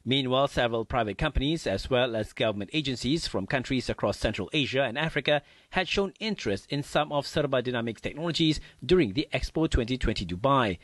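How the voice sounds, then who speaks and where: A man in his thirties presenting the news from a studio, reading a scripted report in an even, formal manner. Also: medium pitch, fast talker, hardly any pauses, dry recording.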